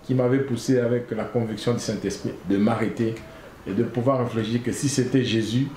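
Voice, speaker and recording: A man's voice: mid-range voice, steady tone, a little fast, dark tone. Congolese guy telling his story in an interview, earnest, lots of ums, echoey room, bit of hiss. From Congo (DRC). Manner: earnest, repeats phrases, many hesitations. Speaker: guest, association founder. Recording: echoey room, faint noise.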